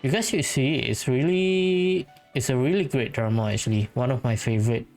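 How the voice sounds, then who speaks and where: A video game host giving casual commentary and thinking out loud while playing. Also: medium pitch, fairly quick, soft background music.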